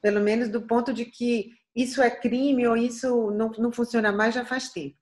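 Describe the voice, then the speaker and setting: A middle-aged Brazilian singer from Bahia with a light Bahian accent and a soft voice, speaking earnestly and reflectively in an interview, with frequent restarts. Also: female voice, on the high side, fairly even intonation, normal speed. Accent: Bahian Portuguese. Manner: thoughtful, earnest, frequent restarts and tag questions.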